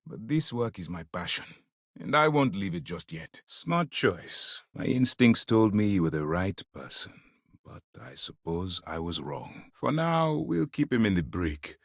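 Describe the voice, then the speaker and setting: A stern, principled military commander of middle age for a space video game. His delivery is formal and measured with firm authority, yet very animated in pitch. The recording is dry and close, with no room sound. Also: no room sound, exaggerated voice. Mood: grave, firm. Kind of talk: dramatic video game dialogue.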